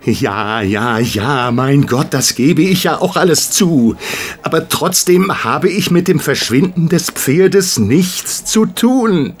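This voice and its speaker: A cornered bookmaker protesting under questioning in an audio drama. He speaks slowly in a lighter, higher voice, defensive and pleading. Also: a little hiss or hum. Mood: desperate.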